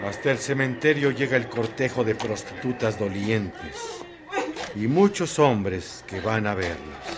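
A man's voice: fairly low pitch, big pitch swings, a little slow. A man giving dramatic scripted narration for a radio drama. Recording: noisy background ambience.

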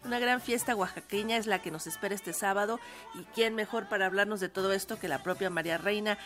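A woman's voice: medium pitch, fast. A woman with a Mexican accent hosting a show, presenting and interviewing a guest. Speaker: radio and video show host.

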